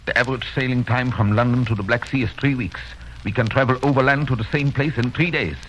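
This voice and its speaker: An actor in a 1930s radio drama playing a grave, imperious professor who leads a vampire hunt and speaks with deliberate authority. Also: male voice, medium pitch, a little hiss or hum, lightly acted, low-quality recording. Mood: grave, resolute. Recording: vintage radio recording, loud music.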